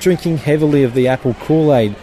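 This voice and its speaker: A man's voice: on the high side, fairly quick, dark tone, a little hiss or hum. A man reading his own satirical column in a wry, mock-solemn way. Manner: wry, mock-biblical delivery. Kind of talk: satirical scripted narration. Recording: music bed under the voice.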